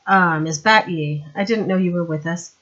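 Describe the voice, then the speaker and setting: A woman's voice: fairly low pitch, moderate pace, a bit bright, dull audio, natural voice. A woman voicing a wry, weary male watch sergeant in an audiobook story. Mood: dry, weary.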